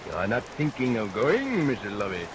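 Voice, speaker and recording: An actor playing a menacing, mock-courteous murderous barber in vintage radio melodrama, insinuating and theatrical. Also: male voice, lowish voice, huge pitch swings, moderate pace. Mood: creepily tender. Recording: vintage radio recording under rain noise.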